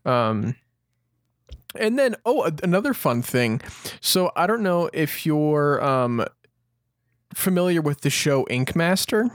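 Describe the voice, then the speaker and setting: A man's voice: fairly high pitch, sing-song, takes it slowly, pauses often, clear, resonant tone, dry recording, studio quality. A man chatting casually and enthusiastically as co-host of a fan podcast.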